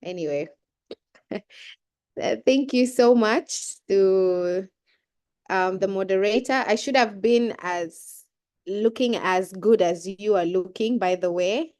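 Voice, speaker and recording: A young woman with a cold speaks over a video call, her voice at an ordinary middle pitch. She talks in a loose, chatty way, full of fillers and restarts, as she gives her keynote. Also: takes it slowly, a little mellow. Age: young adult. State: has a cold. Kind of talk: casual speech on a video call.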